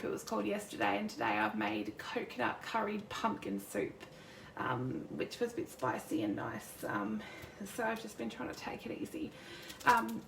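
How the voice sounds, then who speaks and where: Woman, croaky sore throat, rambling live-stream crafter, roomy echo, faint background hiss. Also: mid-range voice, a bit bright. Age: adult with a son. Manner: chatty, rambling, greets viewers by name. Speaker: crafting demonstrator, youth worker. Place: home craft desk. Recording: roomy distant mic at a craft desk.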